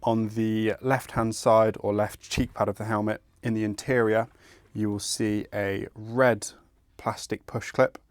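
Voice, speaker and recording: A man's voice: fairly low pitch, level tone, measured pace, no room sound. A man presenting an installation tutorial, explaining each step in a methodical, informal way. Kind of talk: instructional step-by-step tutorial narration.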